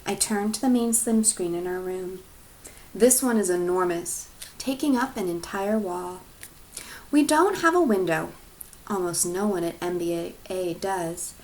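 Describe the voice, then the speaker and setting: A woman's voice: medium pitch, slight background noise, rough recording. Woman reading a story aloud. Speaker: teacher reading aloud to class. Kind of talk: expressive storybook read-aloud.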